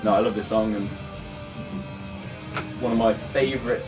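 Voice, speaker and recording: Low-voiced man, halting, over guitar music. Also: fairly even intonation. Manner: hesitant, repeats words, chuckles often. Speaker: band guitarist giving album commentary. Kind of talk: casual chat. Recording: distant mic, guitar music behind. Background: band's album track playing.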